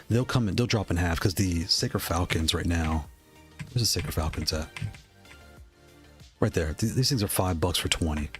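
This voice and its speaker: A man casually chatting on a live stream, rambling, greeting viewers and laughing, with a voice worn from losing it. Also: deep voice. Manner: rambling, shouts out chat viewers, laughs often. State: losing his voice.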